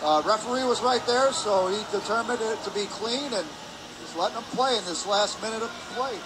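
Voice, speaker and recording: A man's voice: sits high, a little fast. A man giving live hockey analysis as a color commentator on a game broadcast. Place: indoor ice hockey arena. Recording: noisy arena broadcast with ambient hum. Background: clear ambient arena noise.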